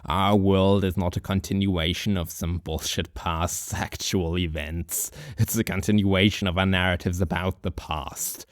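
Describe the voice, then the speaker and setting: Guy doing a low-voiced drunk old hobo philosopher, rambling slow, dry close sound. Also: fairly even intonation, no room sound. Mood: musing. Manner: slow, musing philosophical monologue with chuckles.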